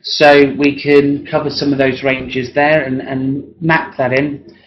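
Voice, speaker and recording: A hoarse man who is losing his voice talks through an assessment plan one-to-one as a work-based assessor. He clears his throat often and speaks haltingly, with frequent tag questions. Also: mid-range voice, flat delivery. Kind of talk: one-to-one assessment planning talk. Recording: dull lo-fi recording.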